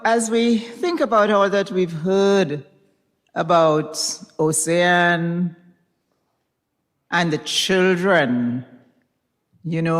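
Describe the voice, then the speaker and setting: A man presenting at a formal event, introducing a speaker in a deliberate manner with pauses. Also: sits high. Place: large reverberant recital hall. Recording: reverberant recital hall.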